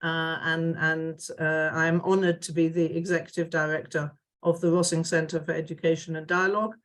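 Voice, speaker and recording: A woman's voice: low-pitched, flat delivery, moderate pace, fairly bright tone. A middle-aged woman with a British accent, introducing herself on a panel video call, with many hesitations and restarts. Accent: British English. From United Kingdom. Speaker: executive director of a dialogue center.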